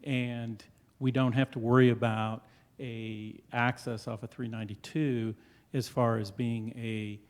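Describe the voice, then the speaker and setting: A man representing a developer, presenting to a town board in a council chamber, loud and full of fillers. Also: mid-range voice, flat delivery, measured pace. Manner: loud and hesitant, many fillers.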